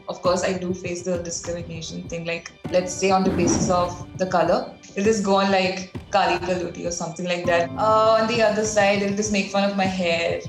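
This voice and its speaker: A young woman talks casually to camera, giggling as she reacts to viewers' guesses. Her voice rings around a roomy space, and music plays clearly underneath. She is relaxed and chatty. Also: mid-range voice. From India. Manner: giggly, relaxed, reading out comments. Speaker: series participant. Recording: echoey room with music behind.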